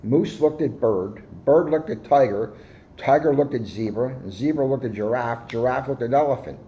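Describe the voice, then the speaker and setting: A man reading a children's picture book aloud in a deliberate, expressive storyteller manner, with slightly muffled sound. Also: mid-range voice, slight background noise. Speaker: guest story reader, police officer.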